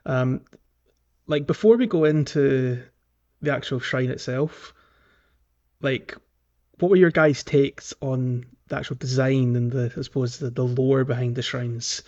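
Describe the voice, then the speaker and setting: A man's voice: mid-range voice, steady tone, unhurried, a little mellow, dull audio. A man co-hosting a casual gaming podcast, often hesitating and rephrasing himself as he talks. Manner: hesitant, self-correcting phrasing. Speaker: podcast co-host.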